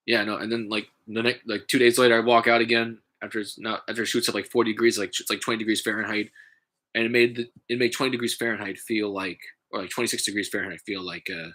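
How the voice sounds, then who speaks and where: A man talks in a casual, halting way, full of fillers and restarts. His nose sounds stuffy and congested. His voice sits fairly low but has a bright edge. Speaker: vlogger. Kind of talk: casual rambling vlog.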